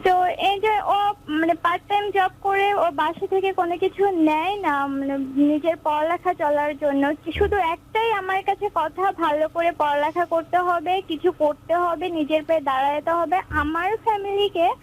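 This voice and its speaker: A woman's voice: very high-pitched, fairly even intonation, measured pace, rough recording. A young woman, a university student, calling in to earnestly explain her relationship. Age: young adult. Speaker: caller.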